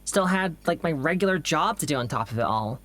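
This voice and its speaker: A woman's voice: low voice, animated, brisk, a bit bright. A woman playing an anxious, persistent doctor who works in communications, hesitant and earnest in a naturalistic audio drama. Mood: weary, recounting.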